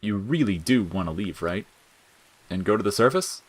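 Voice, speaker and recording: A man playing a gentle, tired young boy in an audio story, calm and terse. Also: fairly low pitch, normal speed, low-quality recording. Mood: earnest, tired. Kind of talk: audio fiction character acting.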